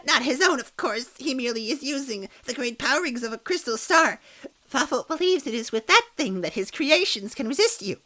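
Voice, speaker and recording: A high, bright little minion, grovelling and jittery in a storybook tale. He stammers and talks about himself in odd, broken phrasing. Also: lively intonation, brisk, heavily acted. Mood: timid. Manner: speaks of himself in third person, stammers. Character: nervous, obedient, grovelling male minion with broken grammar. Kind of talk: storybook reading with character voices.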